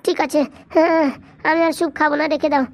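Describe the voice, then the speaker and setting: Nagging young village wife for comedy cartoon, female actor: piercingly high pitch, racing delivery, worried. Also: little pitch movement, fast, close to an everyday voice. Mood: anxious. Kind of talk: dubbed comedy cartoon dialogue.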